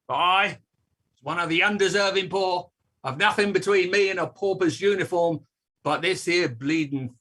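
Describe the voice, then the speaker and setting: An actor playing an aggrieved old dustman with a raspy voice, declaiming his complaints in a staged reading. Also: high voice, sharp tone, exaggerated voice. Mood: resigned lament. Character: blustering, aggrieved old working-class English dustman.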